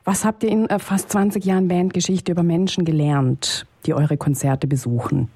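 A woman presenter interviewing a musician, asking friendly, brisk questions. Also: on the low side, fairly even intonation, dark tone, husky. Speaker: radio interviewer.

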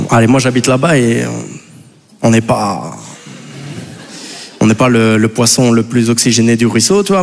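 A man's voice: mid-range voice, darkish tone. Belgian guy doing standup, chatty and rambly, keeps talking to the crowd, voice rings out in a big hall. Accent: Belgian French. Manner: rambling and chatty, full of "tu vois" and "les gars" asides to the audience. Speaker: stand-up comedian. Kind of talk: live stand-up routine. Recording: long hall reverb from a live stage.

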